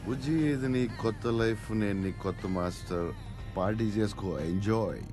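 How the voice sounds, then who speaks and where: An actor playing a cocky, bossy bounty hunter in an animated series, playful and teasing. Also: male voice, on the low side, moderate pace, music in the background, close to an everyday voice.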